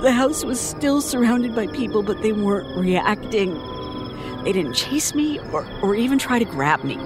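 An actress playing a traumatized survivor in an audio horror drama, telling a nightmarish ordeal in halting, broken phrases. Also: female voice, a little high, animated, over music, natural voice. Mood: shaken, anguished. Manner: halting, haunted, breaking off and repeating words. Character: traumatized woman survivor recounting a nightmarish attack by neighbors. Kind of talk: audio horror drama acting, testimony monologue.

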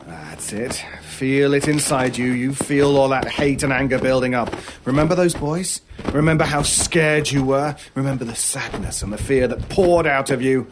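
An actor playing a menacing stranger in a horror radio drama, theatrical and deliberate, with cutting scorn and cold persuasion. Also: male voice, medium pitch, background noise, lightly acted. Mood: menacing, taunting. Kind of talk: old-time radio style horror audio drama acting.

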